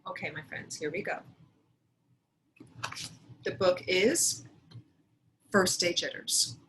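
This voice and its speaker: Woman, teacher, unhurried pace, medium pitch, deliberate instructional read-aloud, faint background noise. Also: creaky, fairly bright tone, rough recording. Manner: deliberate, addressing pupils directly.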